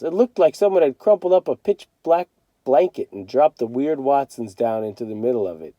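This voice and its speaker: A man reading a children's novel aloud as a teacher, in an expressive storytelling style. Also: mid-range voice, dry recording.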